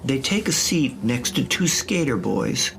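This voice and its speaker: A man narrates an audio drama in a creaky, gravel-edged voice, reading a scripted story in the present tense. His pitch sits in the middle range, and music plays clearly behind him. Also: sing-song, a little slow.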